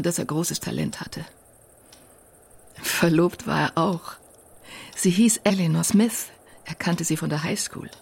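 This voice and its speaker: An actress playing a grieving middle-aged sister in a German radio crime drama, speaking in a husky, subdued, halting and wistful way. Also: female voice, lowish voice.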